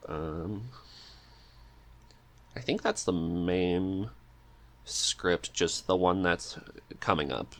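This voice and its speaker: A man's voice: sits low, drawn-out words, pauses often, fairly bright tone. A man chatting casually as an interview guest, thinking aloud in a hesitant, easygoing way. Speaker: podcast guest, script writer and amateur voice actor.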